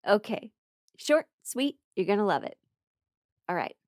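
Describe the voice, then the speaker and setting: A woman's voice: medium pitch, big pitch swings, unhurried, a little mellow, no echo. American woman, dry close sound, playful storyteller. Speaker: stand-up comedy performer. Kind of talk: casual comedic storytelling monologue.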